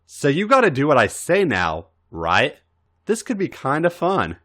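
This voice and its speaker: A man's voice: on the high side, wildly swooping pitch, measured pace, fairly bright tone, voice pushed up, exaggerated voice. A flustered, bossy male lover in a read-aloud story, acted out by a man. The voice is pushed upward and swoops wildly. He sounds folksy, whiny and easily exasperated. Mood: playful.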